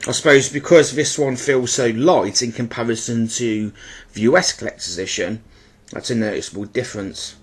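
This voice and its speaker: A man's voice: mid-range voice, moderate pace, husky. Congested man, casual video commentary. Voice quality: slightly congested, bunged up. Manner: informal, apologetic, rambling. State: stuffy with a blocked nose. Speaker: YouTube collector presenting his own videos. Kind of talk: casual unboxing-style product commentary.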